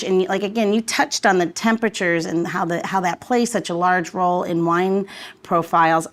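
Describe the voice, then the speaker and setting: A woman hosting a podcast, interviewing her guest in casual, conversational language. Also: medium pitch. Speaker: podcast host.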